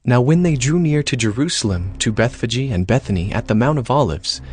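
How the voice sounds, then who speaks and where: A man's voice: mid-range voice, lively intonation, brisk, darkish tone. A man narrating scripture from a script, as the storyteller of a dramatized reading. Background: music.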